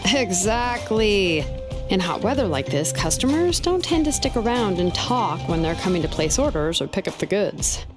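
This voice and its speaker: A woman playing an easygoing young female blacksmith in a video game, with a relaxed, wry way of talking. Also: medium pitch, moderate pace, lightly acted. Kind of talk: video game character acting. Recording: game music behind. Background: game music.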